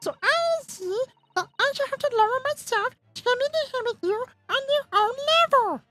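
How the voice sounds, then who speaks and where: Haughty gentlewoman, cartoonish squeak, very high pitch, sharp tone, formal condescension, game dialogue. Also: expressive, moderate pace, faint music behind, full character voice. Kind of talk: dramatic read of a video game script.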